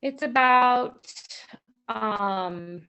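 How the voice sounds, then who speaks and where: A woman's voice: on the high side, very slow, clear, resonant tone. A woman speaking casually and hesitantly on a video call, rambling as she introduces herself. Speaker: background actress and writer. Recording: dull, lo-fi video-call audio.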